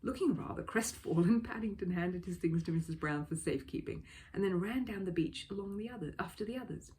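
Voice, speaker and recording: A woman reading a children's story aloud as an expressive narrator. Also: medium pitch, a little fast. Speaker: storybook reader.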